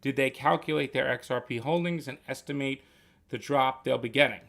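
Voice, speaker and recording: A man's voice: medium pitch, brisk, gravelly, fairly bright tone. A man hosting a casual livestream, reading viewer questions and explaining at length. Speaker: livestream host.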